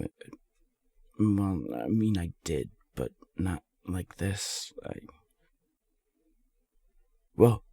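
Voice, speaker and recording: Casting: male actor for shy, self-deprecating friend in love, romance audio roleplay; slow, gravelly, halting delivery. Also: very deep voice, no echo, heavily acted. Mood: bashful, awkward. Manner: hesitant, halting, soft-spoken. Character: lovestruck, self-deprecating non-binary friend, shyly confessing.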